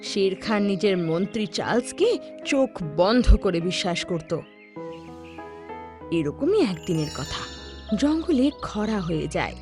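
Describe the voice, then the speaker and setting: A woman's voice: medium pitch, very animated, takes it slowly, pauses often. A woman narrating a scripted children's cartoon story. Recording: background music under the voice.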